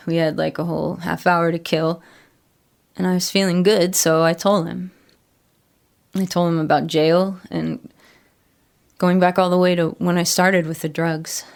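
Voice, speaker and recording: A woman playing a troubled former addict and ex-convict, telling her story plainly and haltingly in a horror audio drama. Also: fairly low pitch, vocal fry, a little mellow. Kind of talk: first-person horror audio drama monologue.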